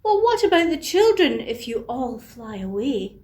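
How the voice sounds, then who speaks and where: A woman voicing a calm fairy in a children's story, speaking in a measured, gently cautioning way. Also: high voice.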